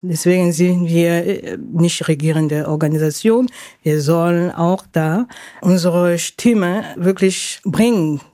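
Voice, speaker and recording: A woman with a non-native German accent answers interview questions off the cuff. Her voice is mellow and she speaks haltingly, in a dry, close recording. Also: fairly low pitch, no room sound. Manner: halting, searching for words. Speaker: association board chair, interview guest.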